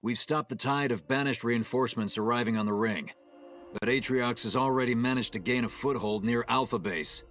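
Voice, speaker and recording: An actor playing a resolute military ship captain in a video game, briefing troops with firm authority. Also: male voice, medium pitch, brisk, a bit bright, soft background music, lightly acted. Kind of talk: video game cutscene acting.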